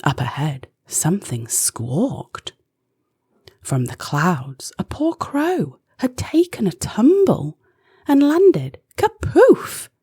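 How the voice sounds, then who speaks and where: A woman reads a children's story in verse, slowly and with dramatic phrasing. Her voice is on the low side and breathy, and it moves expressively up and down. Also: mellow, no room sound, clean studio sound. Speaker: children's audiobook narrator. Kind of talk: expressive rhyming storybook narration.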